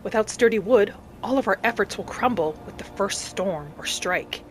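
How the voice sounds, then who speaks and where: A woman voicing a vengeful young orphaned vampire hunter, narrating a video game in character with earnest drama and breathy asides. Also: mid-range voice, animated, normal speed, lightly acted. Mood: determined. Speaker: roleplay gamer narrating in character. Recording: music behind the voice. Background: game music.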